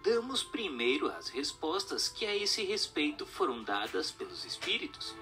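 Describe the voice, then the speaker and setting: A man's voice: a little high, very animated, normal speed, music in the background. A man reading a scripted text as narrator in a formal, didactic manner. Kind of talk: scripted audiobook-style narration.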